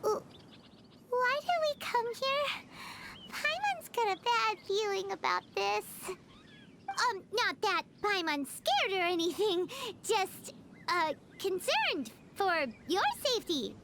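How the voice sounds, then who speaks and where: A woman's voice: lively intonation, slow pace, creaky, very noisy, exaggerated voice. A woman voicing a tiny, childlike floating fairy companion in a video game, jumpy and bossy in a dramatic way. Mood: nervous. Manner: squeaky childlike, dramatic, refers to self by name. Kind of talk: English video game voice acting. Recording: loud game music behind.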